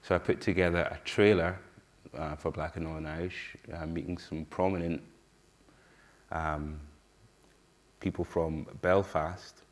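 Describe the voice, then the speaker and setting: Low-voiced glaswegian guy giving a conference talk, earnest, keeps restarting his sentences. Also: steady tone. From Scotland. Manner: earnest, halting, many restarts. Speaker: conference speaker. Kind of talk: personal storytelling talk.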